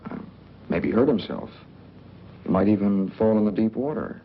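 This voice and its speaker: A cold, guarded man from a 1940s noir. His voice is gravelly and his lines are short and dry. It comes through a muffled, slightly noisy film soundtrack. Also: on the low side, big pitch swings, normal speed.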